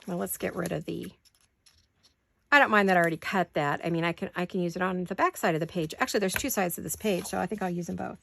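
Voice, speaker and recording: A woman with a sore throat chatting casually to viewers while she crafts at home, thinking out loud as she works. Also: on the low side, fast talker, gravelly, a little hiss or hum. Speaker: craft vlogger. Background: scissors and crafting clicks.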